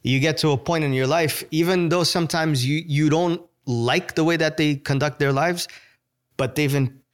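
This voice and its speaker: A man's voice: on the high side, normal speed, clear, resonant tone. Portuguese-accented male host, engaged. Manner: engaged, sharing own experience, encouraging. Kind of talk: conversational interview.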